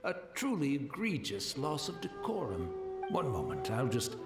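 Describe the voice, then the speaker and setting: An actor playing a gentle, blind old man in a video game, rambling courteously with wistful, old-fashioned formality. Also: medium pitch, huge pitch swings, moderate pace, echoey room, loud music behind the voice. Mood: flustered, apologetic. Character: gentle blind old man, humble tea master, at peace.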